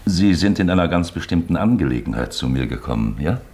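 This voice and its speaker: Radio play role: condescending, precise senior official, deep bass with lively swings in pitch, pointed questions. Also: male voice, fairly quick. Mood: formal, probing. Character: dignified, shrewd male high official, probing and dryly condescending. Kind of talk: German radio play acting.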